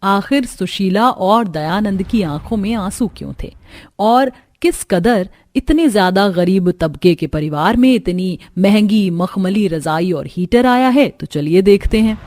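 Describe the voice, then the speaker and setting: A woman's voice: mid-range voice, expressive, unhurried, a little mellow. A woman giving scripted narration as the storyteller of a family tale.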